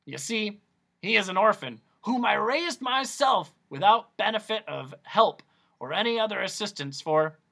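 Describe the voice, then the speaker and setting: A man's voice: sits high, expressive, moderate pace, vocal fry, pushed and bright, pitched above the performer's own voice, exaggerated voice. A man voicing Doctor Discord, a fussy, eccentric male doctor who lectures theatrically. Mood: explaining.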